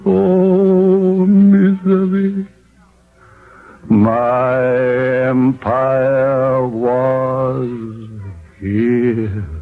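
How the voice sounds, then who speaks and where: A ruined old man muses aloud in bitter self-mockery. His theatrical speech crawls along in drawn-out phrases with a gravelly creak. It comes from a muffled 1940s radio drama broadcast, with music faintly present. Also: medium pitch, little pitch movement. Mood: anguished.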